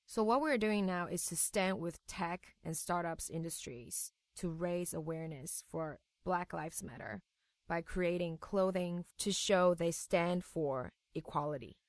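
A woman's voice: fairly low pitch, unhurried, dry recording, studio quality. A young adult woman from Taiwan with a Taiwanese accent, speaking English as her second language, answering interview questions earnestly. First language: Mandarin. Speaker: guest.

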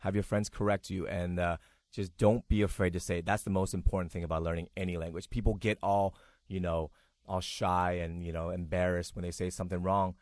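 A man, speaking as an interview guest in a relaxed, plain-spoken way. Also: sits low, a little fast, no echo, clean studio sound. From Taiwan. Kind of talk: casual conversation.

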